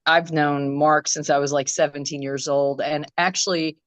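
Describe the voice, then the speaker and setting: A woman musician from Croatia, answering interview questions in a casual chat, thoughtful and rambling with many pauses and restarts. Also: sits low, little pitch movement, no echo. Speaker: musician guest. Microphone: video call.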